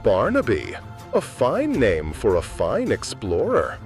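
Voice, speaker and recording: A man voicing a falsely kind older male neighbor in a suspense story, with a smooth, cooing, gentle murmur. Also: mid-range voice, huge pitch swings, takes it slowly, faint music behind. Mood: coaxing. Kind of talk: storybook suspense character dialogue.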